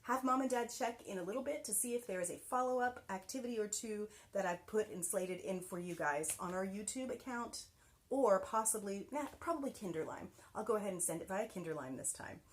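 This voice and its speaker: A woman teacher reading a children's book aloud with expressive, animated delivery and soft whispered passages. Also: medium pitch, a little fast.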